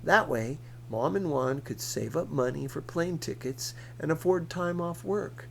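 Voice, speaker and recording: A man's voice: on the high side, unhurried, vocal fry, slight background noise. A man reading a first-person novel aloud as scripted narration. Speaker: audiobook reader.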